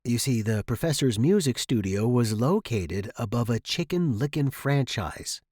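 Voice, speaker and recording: A man narrates a short story in the first person, as in an audiobook. His pitch is average for a man. The recording is close and dry, with little room sound. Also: lively intonation, normal speed, darkish tone, slightly rough, no echo.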